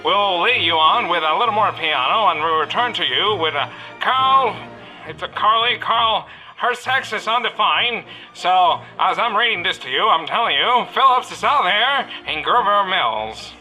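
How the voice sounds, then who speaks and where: A man's voice: high voice, animated, normal speed, bright, forceful tone, full character voice, low-quality recording. A man playing an irreverent studio announcer in a radio parody, mock-formal and wandering off script. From outside the US. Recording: music behind the voice.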